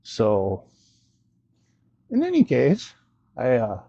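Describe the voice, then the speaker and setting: A man hosts a casual gadget review with a gravelly, mellow voice. He rambles a little, hesitates and plays down his own expertise as he goes. The recording is close and dry, with a slightly dull sound. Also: mid-range voice, huge pitch swings, extremely slow, no room sound. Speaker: host of a gadget review video. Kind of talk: casual unscripted product demo and review.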